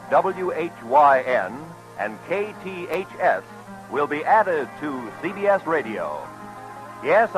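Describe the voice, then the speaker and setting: A man's voice: on the high side, rough recording. A man working as a radio announcer, reading scripted credits and notices in a formal, emphatic 1950s style.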